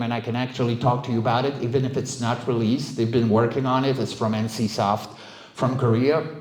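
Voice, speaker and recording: A middle-aged man giving an informal talk about his work as a voice performer, telling anecdotes in an animated, casual way. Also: mid-range voice. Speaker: voice actor, director and coach giving a talk. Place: indoor event room, live talk. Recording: roomy, echoey live talk recording.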